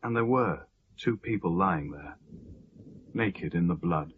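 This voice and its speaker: An actor playing a shaken young husband who recounts events haltingly, as a monologue in a radio play. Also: male voice, deep voice, expressive, narrow, tinny audio, slight background noise. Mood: horrified. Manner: halting, haunted storytelling.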